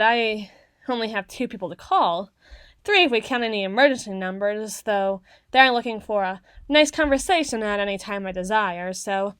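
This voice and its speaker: A woman acts out a socially awkward, bookish tsundere girl in her young adulthood for an ASMR roleplay. Her voice sits fairly high and her phrasing is wordy and halting, easily flustered. The sound is very dry and close, with no room echo. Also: a bit bright. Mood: chatty, self-conscious. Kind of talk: ASMR roleplay, acted monologue to the listener.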